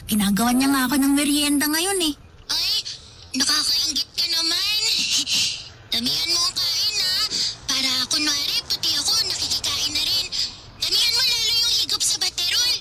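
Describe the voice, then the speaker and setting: A woman's voice: very high-pitched, moderate pace, very noisy. A woman voicing an earnest, pleading young girl in a radio drama. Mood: eager. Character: young girl waiting by the phone for her friend. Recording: loud music underneath.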